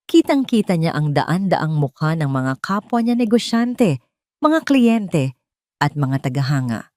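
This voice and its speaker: A woman narrating a scripted story in a measured, dramatic storytelling manner. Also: deep voice, darkish tone, no echo, studio quality.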